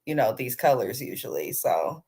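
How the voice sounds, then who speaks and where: A woman's voice: extremely low pitch, big pitch swings, moderate pace, a little mellow. A woman hosting a casual, chatty TV recap, speaking informally to her viewers with a slightly congested voice and a sore throat. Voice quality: slightly congested, not crisp. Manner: informal, addresses viewers directly as "you guys". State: under the weather, sore throat with throat clearing. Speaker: host of a TV recap channel.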